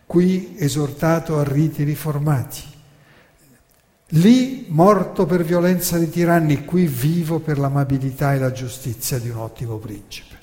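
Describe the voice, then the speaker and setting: A man's voice: fairly high pitch, unhurried, darkish tone, natural voice. A man reciting as a defiant, learned exiled philosopher in a solemn, oratorical stage monologue. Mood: bitter, proud. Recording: long hall reverb.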